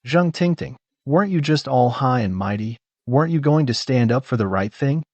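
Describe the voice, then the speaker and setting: A man's voice: mid-range voice, lively intonation, moderate pace, a little mellow, no room sound, highs cut off. A man playing a scheming, bullying middle-aged company manager in a melodrama, scornful and blustering. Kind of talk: dubbed melodrama acting.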